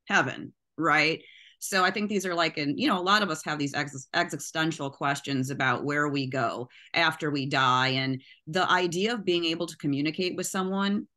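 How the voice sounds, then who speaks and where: A woman's voice: sits low, moderate pace, bright, forceful tone. A middle-aged poet from the US Midwest with a Midwestern accent, talking in a chatty way about her poems and often asking "right?". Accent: Midwestern American. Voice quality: nasal. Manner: chatty, tags lines with "right?". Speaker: poet, guest. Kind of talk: casual interview chat.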